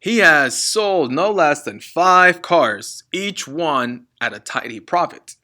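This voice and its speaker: Man acting out a loud, boastful crooked dad. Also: lively intonation, slow, exaggerated voice. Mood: boastful, gloating. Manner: booming, smug, bossy. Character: loud boastful father, crooked car dealer. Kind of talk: children's storybook read-aloud.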